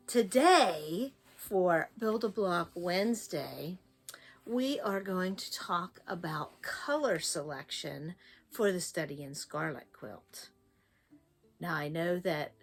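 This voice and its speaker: An older woman with a US East Coast accent hosting a quilting tutorial, chatty and encouraging. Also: lowish voice. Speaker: quilting channel host.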